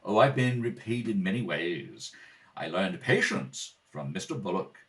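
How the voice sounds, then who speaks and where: Picked up from a little way off, a low and very expressive male voice gives us a man acting a dignified gentleman butler. He is polite, earnest and formal, choosing his words with care, as in a homemade radio play. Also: distant mic, exaggerated voice. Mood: courteous, composed. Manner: polite, formal, measured, hesitant at times. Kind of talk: amateur radio play read-through. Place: at a kitchen table at home.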